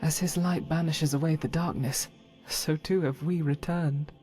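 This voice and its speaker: Woman as a mellow, solemn knight. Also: low voice, steady tone, a little slow, faint music behind. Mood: earnest, subdued. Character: solemn, devout female knight companion, steadfast and gentle. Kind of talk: video game follower dialogue.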